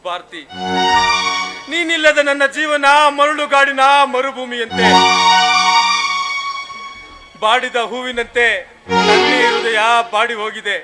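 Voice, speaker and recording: Heartbroken young lover, played by a man, high voice, melodramatic and pleading, loud music behind. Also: unhurried. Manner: melodramatic, pleading, poetic lament. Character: heartbroken young man pining for lost love. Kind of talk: audio drama acting.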